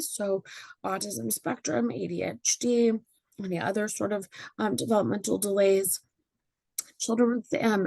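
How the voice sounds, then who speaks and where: Slightly hoarse woman leading a workshop. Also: medium pitch, no echo, low-quality recording. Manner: chatty, with many fillers and tag questions. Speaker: parenting workshop facilitator. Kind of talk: informal workshop presentation. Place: meeting room with live audience.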